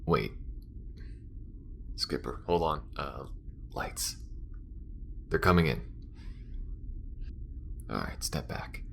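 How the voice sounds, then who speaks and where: A man playing a gruff, dry, impatient space smuggler in an audio roleplay, guarded and a little hesitant. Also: rumbling low, lively intonation, drawn-out words, pauses often, fairly bright tone, whispered, exaggerated voice. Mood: wary.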